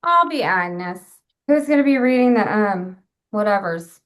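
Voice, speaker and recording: A woman with a medium-pitched voice co-hosts a comedy livestream. She joins in with short, casual banter over a video call. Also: animated, measured pace. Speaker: co-host of a live comedy show.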